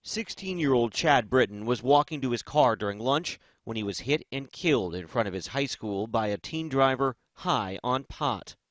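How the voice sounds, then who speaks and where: American male news correspondent, medium-pitched voice. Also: animated, normal speed, hardly any pauses, fairly bright tone, no room sound. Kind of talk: scripted news narration.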